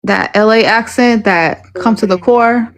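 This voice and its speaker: A woman's voice: on the high side, a little slow. A woman chats casually on a group livestream. She talks in an informal, giggly way, as if among friends. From Los Angeles, United States. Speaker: first-time livestream participant.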